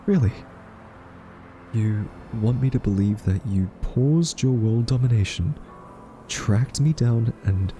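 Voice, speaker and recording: A man playing a timid, quirky scientist in an audio roleplay, soft-spoken, stammering and awkwardly polite. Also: lowish voice. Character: timid, quirky male scientist held captive by a rogue AI. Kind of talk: audio roleplay acting addressed to listener.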